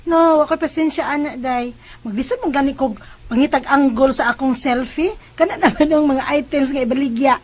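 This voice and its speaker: An actress playing a breezy, impatient young best friend in a Bisaya radio drama, chatty and playful, with a Cebuano accent. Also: female voice, sits high, fairly quick, close to an everyday voice.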